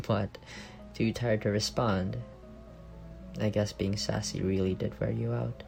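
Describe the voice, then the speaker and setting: A man playing an affectionate, teasing young roommate secretly in love, in an intimate ASMR roleplay with a soft, creaky voice. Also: lowish voice, steady tone, slow, faint music behind, exaggerated voice. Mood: fond, soothing. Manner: playful teasing murmur with soft chuckles.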